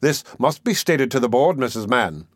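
A man's voice: mid-range voice, animated, moderate pace, no echo, studio quality, close to an everyday voice. Man acting a pompous, imperious parish beadle. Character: pompous, self-important, irritable male parish beadle. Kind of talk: audiobook character acting.

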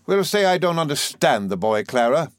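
A male reader puts on a severe Victorian spinster from a storybook, pitched high. She is sour and sharp-tongued, leaning hard on her words and drawing them out with disapproval. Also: big pitch swings, no room sound. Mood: sharp, sardonic. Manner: emphatic, drawn-out, disapproving. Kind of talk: audiobook character in a Victorian novel.

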